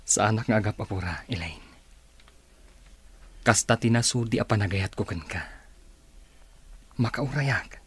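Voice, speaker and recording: An actor playing an ardent, pleading suitor in a radio drama. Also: male voice, fairly low pitch, unhurried, long pauses, breathy edge. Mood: pleading, romantic.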